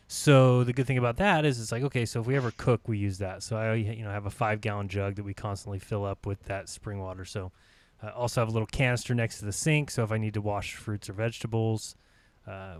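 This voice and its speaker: A man's voice: lowish voice, dry recording. A man hosting a live online panel chat, upbeat and chatty, laughing often, and feeling like he is losing his voice. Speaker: host.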